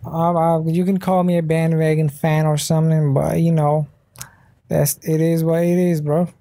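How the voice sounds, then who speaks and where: A man's voice: on the high side, flat delivery, normal speed, warm tone, no room sound. A sleepy man, not a native English speaker, casually commentating a mobile game pack opening while trying out a put-on British accent. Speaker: mobile game channel host.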